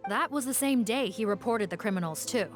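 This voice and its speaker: A woman playing a composed adult investigator in a video game, formal, measured and sober. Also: on the high side, expressive, faint music behind, close to an everyday voice. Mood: grave, speculative.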